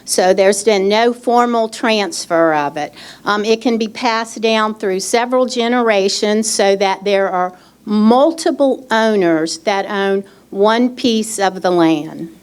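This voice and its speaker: A woman's voice: mid-range voice, slow pace, clean tone. A middle-aged woman presenting a legal aid workshop at an expo, explaining in a chatty way with many fillers, speaking into a handheld microphone. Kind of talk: public workshop talk. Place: expo hall.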